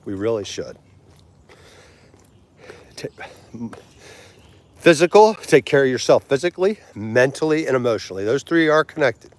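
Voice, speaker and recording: A man's voice: mid-range voice, animated. A man, hosting a casual livestream, laughing easily and chatting with viewers. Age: 40s. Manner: chatty, laughs easily, tries foreign words slowly. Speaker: livestream host.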